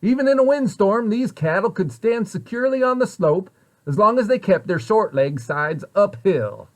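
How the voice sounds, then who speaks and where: A man reads a storybook aloud for children. His voice sits a bit high for a man, and he shapes the sentences expressively and deliberately, like a practiced storyteller. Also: expressive. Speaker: site director reading a picture book to children.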